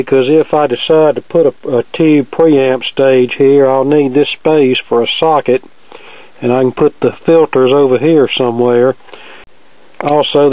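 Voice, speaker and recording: A man's voice: medium pitch, no echo. A man narrating a hands-on repair in a casual, methodical, matter-of-fact way, as host of his own video. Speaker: host, vintage record player repair hobbyist. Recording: muffled phone-like audio with background noise.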